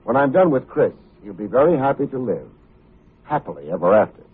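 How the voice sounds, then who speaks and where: An actor playing a tough, wry but good-hearted private detective in old-time radio style, with a hardboiled, wisecracking manner. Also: male voice, medium pitch, very animated, brisk, slightly rough. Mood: urgent, assured. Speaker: lead actor and narrator. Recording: muffled old radio recording, music behind.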